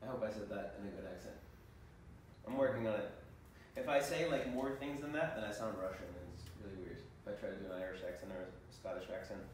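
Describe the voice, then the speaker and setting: A young man hosts a relaxed conversation, talking quickly in a slightly low voice with a chatty, self-mocking manner. He sounds far from the camera in a very echoey living room, with faint background noise. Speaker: host. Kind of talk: casual interview chat.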